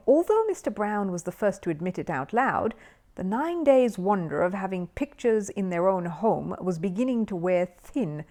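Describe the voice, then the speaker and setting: A woman reading a children's story aloud as an expressive audiobook narrator. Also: mid-range voice.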